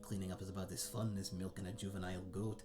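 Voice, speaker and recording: A man's voice: sits low, little pitch movement, quick pace, music in the background, close to an everyday voice. A man playing a friendly, chatty old tavern keeper in a fantasy audio roleplay, with a folksy, rambling, self-deprecating way of talking to the listener. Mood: folksy, forgetful. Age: older. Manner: rambling, folksy, hesitant, chuckling aside to a guest.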